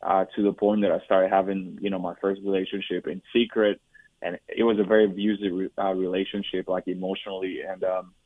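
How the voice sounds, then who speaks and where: Low-voiced man from Puerto Rico, phone-line sound. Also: flat delivery, no room sound. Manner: halting, many restarts and fillers, candid. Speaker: guest. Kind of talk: personal testimony interview. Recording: dry, tinny phone-like line.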